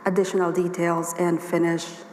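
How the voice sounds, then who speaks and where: Hoarse woman presenting in an echoey hall. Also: on the low side, moderate pace, slight background noise. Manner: steady, explanatory, addresses the audience directly. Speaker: workshop presenter. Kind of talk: conference presentation. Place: conference hall. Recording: echoey hall with long reverb, faint ambience.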